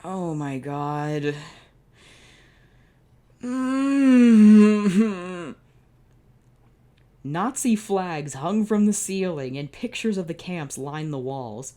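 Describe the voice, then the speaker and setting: Low mellow woman reading a fanfic out loud, sarcastic swearing asides. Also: expressive, very slow, long pauses. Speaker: channel host. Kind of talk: reading fan fiction aloud with sarcastic commentary.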